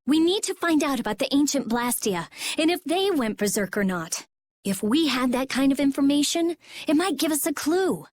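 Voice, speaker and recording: A brainy young girl speaks in a high voice, sharp-tongued and insistent. She reasons things through curtly, like a scholar in a fantasy game. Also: sing-song, moderate pace. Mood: analytical. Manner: insistent, analytical, curt. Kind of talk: video game cutscene acting.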